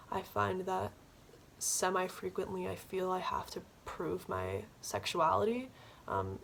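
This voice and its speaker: Thoughtful teen girl, gravelly tone. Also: mid-range voice, a little hiss or hum. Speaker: interviewee. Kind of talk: casual talk.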